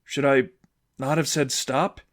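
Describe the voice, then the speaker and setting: A man voicing a quiet, devout, earnest young woman, hesitant and hurt, in short lines of fan fiction audiobook dialogue. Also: medium pitch, steady tone, unhurried, close to an everyday voice. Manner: soft-spoken, hesitant, terse.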